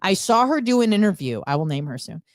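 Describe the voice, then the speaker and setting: A woman's voice: medium pitch, big pitch swings, quick pace, no room sound, studio quality. A woman co-hosting a podcast in casual, unscripted chat, speaking bluntly and emphatically with crude humor. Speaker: podcast co-host.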